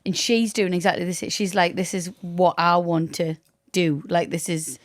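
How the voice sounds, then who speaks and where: A woman's voice: fairly low pitch, steady tone, moderate pace. North East English woman chatting casually. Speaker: podcast host. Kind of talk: casual chat.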